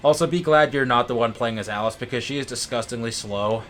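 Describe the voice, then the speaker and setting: A man's voice: mid-range voice, fast talker, fairly bright tone. Man casually commentating over game music. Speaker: co-host of a gaming let's play. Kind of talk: casual gameplay commentary chat.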